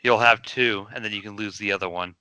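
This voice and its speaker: A man co-hosting a casual podcast chat, telling quick stories and steering the talk between topics. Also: on the low side, steady tone, sharp tone, clear, resonant tone, no echo, poor audio. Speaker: podcast co-host.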